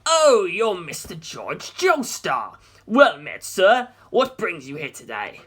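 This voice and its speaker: A narrator acts out a big, confident young gentleman in an audiobook. He is smugly pleasant and possessive, stretching out his polite words with lively swings of pitch. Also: slow, breathy edge, exaggerated voice. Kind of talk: audiobook character dialogue.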